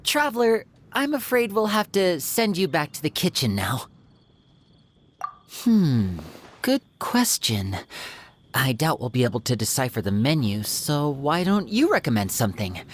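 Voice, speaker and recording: A man's voice: fairly high pitch, big pitch swings, a little slow. An actor playing a suave, courteous young male magician in a video game, with a polished, theatrical manner. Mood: amused, polite.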